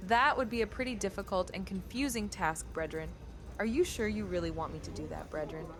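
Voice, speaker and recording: A woman's voice: mid-range voice, a little fast, crowd noise. A woman's voice reading chat requests and stiff, formal replies, repeating the same odd word of address. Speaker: chat-command voice reading messages.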